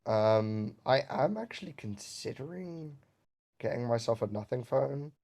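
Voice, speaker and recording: A man chatting casually about phones and software on a voice call, rambling with fillers and some swearing. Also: mid-range voice, expressive, unhurried. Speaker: jailbreak developer on a stream. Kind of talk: casual tech chat.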